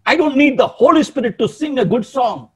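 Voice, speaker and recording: A man in his sixties preaching a sermon in an emphatic, animated way, often calling out to his listeners, over a webcam in a small room. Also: very high voice, brisk, slightly rough. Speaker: pastor.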